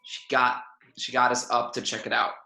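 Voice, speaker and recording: Guy reading a spaceship captain, bright forward voice, echoey room, table read. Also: mid-range voice, monotone, moderate pace, creaky. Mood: matter-of-fact. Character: male captain of a commercial spaceship briefing crew. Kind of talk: table read of a film script.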